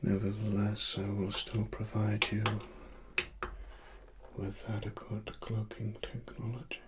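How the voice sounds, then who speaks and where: A man with a British accent speaking softly in an ASMR roleplay as a secretive cyberpunk investigator, breathy and deliberate, often near a whisper. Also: lowish voice, monotone, very noisy. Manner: slow, deliberate, hushed. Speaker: ASMR performer.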